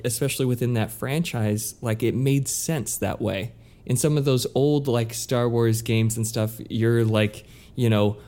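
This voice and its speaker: A man's voice: medium pitch, warm tone. An American man co-hosting a casual podcast chat. He rambles and restarts his sentences, padding them with plenty of filler words. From United States. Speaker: podcast co-host.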